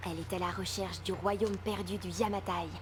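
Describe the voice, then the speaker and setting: Actress as a teasing, reassuring young gal in a game, medium pitch, engine-like noise behind. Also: female voice, fairly even intonation, moderate pace, lightly acted. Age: young adult. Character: playful, supportive young woman, explorer's close friend. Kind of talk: dubbed video game dialogue. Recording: clear vehicle-like background noise.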